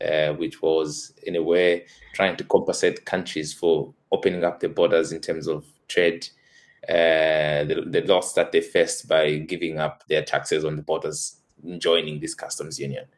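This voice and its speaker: A man from Zambia in his thirties, a college tutor, answering interview questions in a relaxed, hesitant way with many fillers and soft laughs. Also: rumbling low, unhurried.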